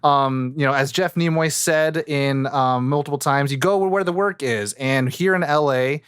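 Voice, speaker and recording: A man's voice: mid-range voice, moderate pace, a bit bright, clear, resonant tone, no room sound, studio quality. A man hosting a podcast in casual, unscripted talk, rambling and often hesitating or interrupting himself. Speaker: podcast host.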